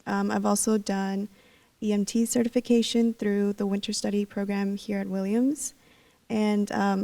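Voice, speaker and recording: Casting: young woman, student panelist, mellow gravelly voice, even delivery, a bit far from the mic. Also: medium pitch. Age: young adult. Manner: steady, frequent "um". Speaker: student peer career advisor. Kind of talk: panel introduction.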